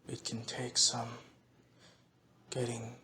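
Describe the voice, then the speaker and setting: Man acting slow, even-toned formal butler. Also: mid-range voice, a little hiss or hum. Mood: calm, deferential. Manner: formal, measured, hushed. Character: courteous, unflappable, servile male butler. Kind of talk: audio roleplay acting.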